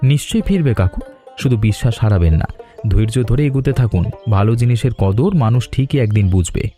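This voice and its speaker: A man voicing a courteous, reassuring young traveller in a cartoon, speaking politely and earnestly in measured phrases. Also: on the low side, lively intonation, warm tone, lightly acted. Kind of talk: cartoon drama dialogue. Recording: music under the voice.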